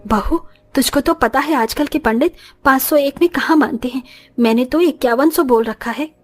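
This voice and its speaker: An actress plays a middle-aged Indian mother-in-law in a family cartoon. Her voice is somewhat high, and she talks in grumbles and exclamations like a put-upon matriarch. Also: moderate pace, soft background music. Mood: placating. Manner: muttering complaints, exclaiming. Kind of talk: moral story cartoon acting.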